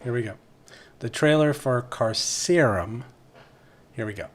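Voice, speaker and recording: A man's voice: medium pitch, unhurried. A man hosting a live podcast interview in a casual, conversational style.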